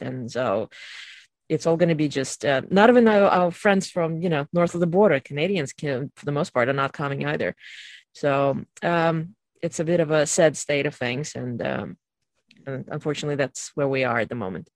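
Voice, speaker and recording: A podcast host moderates an online group chat in a mid-pitched voice. The delivery is wordy and halting, full of hesitations, in casual conversation. The sound is dry, with very little room in it. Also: no room sound. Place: online video call.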